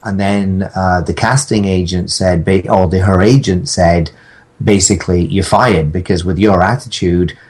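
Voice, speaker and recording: Low, slightly husky man telling anecdotes. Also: steady tone, darkish tone. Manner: halting, rambling storyteller. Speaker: podcast guest. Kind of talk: casual anecdotal chat.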